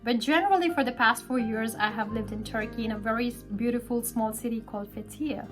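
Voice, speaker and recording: A woman's voice: on the high side, fairly quick. A woman from Pakistan, an English teacher, narrating a vlog in a friendly storytelling way. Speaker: English teacher and speaking club organizer. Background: soft background music.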